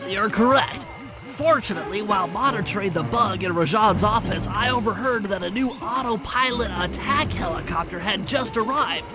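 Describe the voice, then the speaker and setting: A man's voice: sits high, lively intonation, moderate pace, over music. A man voicing a brainy, fussy turtle who plans missions in a video game, speaking in precise, wordy explanations. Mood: serious briefing. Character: brainy, fussy nerdy planner turtle, proud strategist. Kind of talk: video game cutscene acting.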